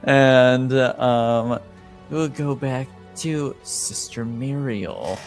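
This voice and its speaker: A man's voice: mid-range voice, extremely slow, music in the background. A man guiding a tabletop roleplaying game as game master, casual and amused.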